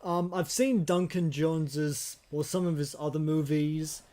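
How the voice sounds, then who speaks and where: A man hosting an unscripted film discussion on a podcast, speaking in a halting, hesitant way. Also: on the high side, warm tone. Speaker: podcast host.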